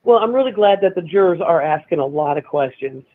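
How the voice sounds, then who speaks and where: Raspy, hoarse man on a phone line. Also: on the high side, lively intonation, dry recording. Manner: halting, restarts often, agreeable. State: hoarse from being sick. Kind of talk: casual chat.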